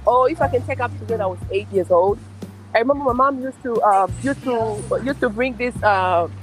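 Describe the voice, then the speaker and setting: Tanzanian woman guest on a podcast, chatty, kinda high voice, restarts a lot. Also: brisk, faint music behind, low-quality recording. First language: Swahili. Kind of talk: casual podcast interview.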